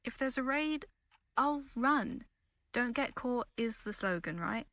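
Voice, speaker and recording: A woman's voice: fairly high pitch, animated, measured pace, a bit bright. Woman acting a defiant young British rebel. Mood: earnest, defiant. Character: defiant young woman rebel performer, teasing yet earnest lover. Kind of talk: intimate audio drama acting.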